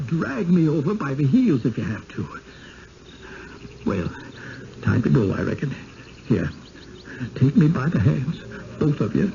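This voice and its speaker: An actor playing a frightened, stubborn old village man with a rural American accent and a folksy, halting manner in a radio drama. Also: medium pitch, sing-song, vocal fry, warm tone. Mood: anxious. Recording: muffled, slightly noisy vintage radio recording.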